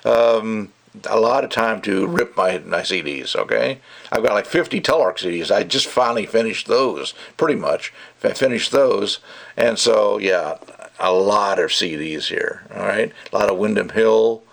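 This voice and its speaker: A man's voice: lowish voice, normal speed, gravelly, fairly bright tone. A man giving a casual, rambling and opinionated product review, talking off the cuff.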